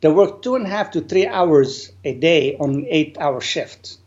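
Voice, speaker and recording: A man, an English speaker, telling his life story earnestly and deliberately as an interview guest. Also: on the high side, animated, darkish tone. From Lebanon. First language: not English. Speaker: guest, business owner. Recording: dull lo-fi sound.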